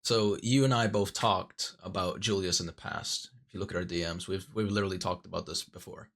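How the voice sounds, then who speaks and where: A man hosting a casual online chat, his voice sitting a bit low. He asks loose, probing questions full of fillers and restarts, speaking over a voice call. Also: steady tone, a little fast, fairly bright tone. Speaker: interviewer and host.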